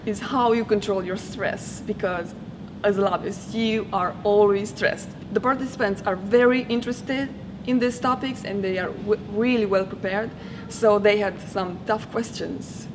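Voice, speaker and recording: A woman's voice: mid-range voice, steady tone, normal speed, noisy background. A young woman from Romania, a student, answering interview questions hesitantly with many fillers. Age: young adult. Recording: music playing underneath.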